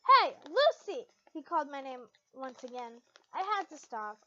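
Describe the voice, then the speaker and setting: A woman's voice: high voice, moderate pace, pushed and bright, highs cut off, slight background noise. A woman reading a story aloud in a dramatic amateur style, sometimes stumbling over words and correcting herself. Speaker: fan fiction reader.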